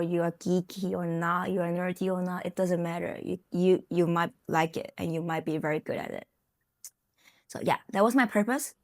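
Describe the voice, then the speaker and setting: A young woman with a Taiwanese accent, her native Mandarin showing through small grammar slips, talks to camera in a relaxed vlog. Her voice sits a little low, and she keeps the flow loose with plenty of fillers. The sound is dry and close, with no room around her. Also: no echo. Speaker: software engineer and vlogger. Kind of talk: casual talk to camera.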